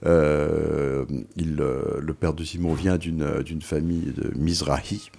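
Very deep gravelly older man, hesitant. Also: flat delivery, slow, mellow. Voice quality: very deep gravelly voice, many hesitations and repeated words. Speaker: author and music writer. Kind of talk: radio interview guest.

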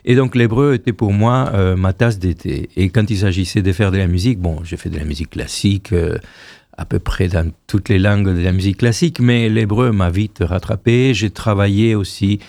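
This is a man in his sixties from Argentina whose first language is Hebrew. He speaks in a gravelly, mellow, fairly low voice and tells his story unhurriedly, restarting phrases as he goes. He is recorded dry and close. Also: fairly even intonation, normal speed, dry recording. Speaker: choir director, guest. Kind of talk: radio interview.

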